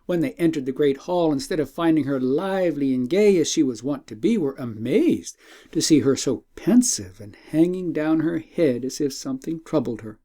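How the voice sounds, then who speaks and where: A man's voice: on the high side, expressive, normal speed, warm tone. A man narrating a storybook as a scripted audiobook reading.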